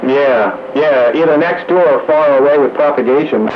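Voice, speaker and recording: Mid-pitched man on muffled, noisy radio. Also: normal speed. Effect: two-way radio. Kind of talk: casual radio chat. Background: clear noise.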